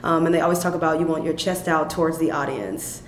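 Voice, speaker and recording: Quick, lively young actress from Chicago. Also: female voice. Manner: animated, quick, laughing storyteller. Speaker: stage actress. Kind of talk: interview conversation. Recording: echoey room with faint rumble.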